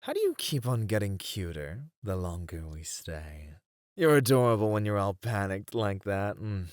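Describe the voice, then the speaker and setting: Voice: low-pitched, animated, normal speed, darkish tone, no room sound, clean studio sound. A performer voicing a playful, smugly flirtatious demon in an ASMR roleplay, with a drawling, theatrical, teasing delivery. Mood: flirty, coaxing.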